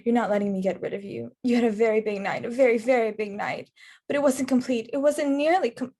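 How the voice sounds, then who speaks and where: A woman's voice: a little high, quick pace, mellow, lightly acted. A woman acting a blunt, sardonic young paramedic in a rehearsed table read. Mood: playful, weary.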